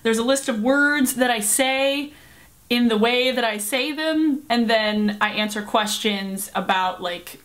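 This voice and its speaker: A woman's voice: fairly high pitch, unhurried, a bit bright. A young woman from the Seattle area, chatting casually to camera in a rambling way with fillers. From Pacific Northwest, Seattle area. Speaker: vlogger. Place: small cluttered bedroom, indoors. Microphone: camera at close range.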